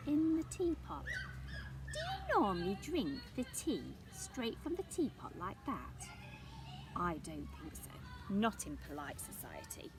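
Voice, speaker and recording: Female storyteller for young children, very lively intonation, unhurried, chatty questioning asides, noticeable background noise. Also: sits high. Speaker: storyteller reading a picture book. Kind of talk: expressive read-aloud for young children with asides.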